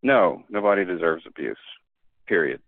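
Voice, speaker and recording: A man with a fairly low voice speaks earnestly as a guest in a casual conversation. He is emphatic and often restarts his sentences. His voice comes through a dry, tinny, phone-like line. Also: animated, moderate pace, dry recording. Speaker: podcast guest.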